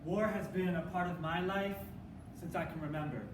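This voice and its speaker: A man performing rehearsed, dramatic spoken word in a measured, theatrical manner. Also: high voice, steady tone. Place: live competition performance in a room. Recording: echoey room, distant mic, faint noise.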